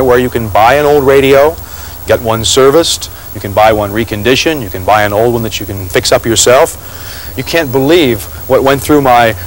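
A middle-aged man hosting a hobby program, speaking to the viewer outside a storefront. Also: medium pitch. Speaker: program host. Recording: noisy outdoor street location sound.

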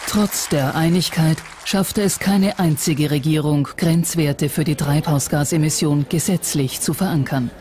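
A documentary narrator reading a scripted voice-over in a measured, factual way. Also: mid-range voice, a crowd in the background, noisy background.

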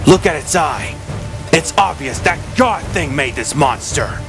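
A man's voice: a little high, lively intonation, a little slow. A man voicing a brooding, impatient hedgehog antihero, curt and sarcastic. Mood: scornful. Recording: music behind.

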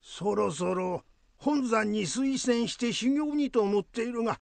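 Man playing elderly Buddhist priest, creaky voice, dry close sound, fussy grumbling, old-fashioned phrasing, radio drama. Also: very high voice, unhurried, no room sound, heavily acted. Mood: exasperated. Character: ailing old Buddhist head priest, fussy but fond.

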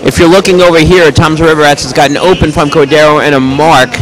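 A man giving live play-by-play commentary on a bowling match in a bowling alley. He has a loud, projecting voice and makes chatty, joking asides between calls. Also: fairly high pitch, steady tone, quick pace, a crowd in the background, poor audio.

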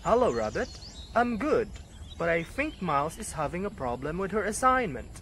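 A man voicing a friendly, helpful zebra puppet, explaining simply and deliberately in a scripted educational show. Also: high voice, very animated, fairly bright tone, slight background noise, exaggerated voice. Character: helpful friendly male zebra puppet, confident little teacher.

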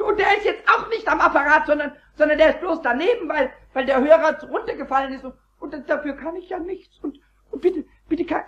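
Casting: squeaky, cackly kobold imp, high and swooping, whiny, proud, stammering, children's audio drama. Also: male voice, gravelly, dull audio, heavily acted. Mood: indignant. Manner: stammers and repeats words excitedly. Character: mischievous little kobold boy, excitable, whiny, proud. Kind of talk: children's radio play acting.

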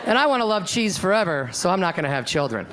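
A deadpan male comedian in his thirties tells his stories on a club stage through a handheld mic. Laughter from a packed room rises behind him, and a steady hiss runs under the live recording. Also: high voice, quick pace, crowd noise. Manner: deadpan storytelling, acting out voices of others. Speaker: stand-up comedian. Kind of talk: stand-up routine. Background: audience laughter.

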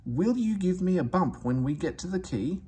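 A man's voice: sits high, animated, normal speed, warm tone, husky, voice pushed up, exaggerated voice. Man voicing a cheeky, swooping little tank engine. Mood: scheming. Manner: boastful, plaintive when in trouble. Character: cheeky, conceited young tank engine, boastful.

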